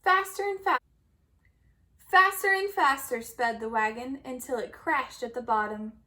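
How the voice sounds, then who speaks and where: A woman reading a children's story aloud in an expressive storytelling manner. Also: high-pitched, unhurried. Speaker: storybook reader.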